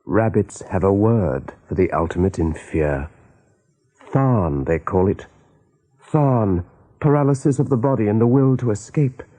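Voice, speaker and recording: A man narrating a radio play as a dramatic storyteller, with a mellow, husky voice. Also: fairly low pitch, lively intonation, unhurried.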